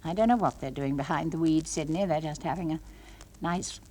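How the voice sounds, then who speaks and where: A woman playing a patient, brightly patronising English nursery school teacher in a comic monologue, coaxing and gently scolding small children in a sing-song way. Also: low voice, fairly quick. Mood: firm, explaining. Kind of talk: comic monologue, one side of classroom talk.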